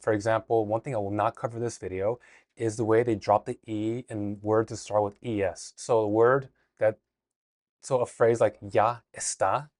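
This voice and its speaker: A man's voice: fairly low pitch, dry recording. Man explaining Spanish, dry close sound. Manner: relaxed, explanatory, with frequent restarts. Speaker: Spanish teacher. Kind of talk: casual language lesson.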